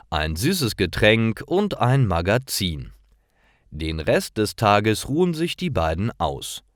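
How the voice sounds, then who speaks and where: A man reading scripted stories for language learners in a careful, deliberate manner. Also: low voice, no echo, studio quality. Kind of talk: scripted storytelling narration for learners.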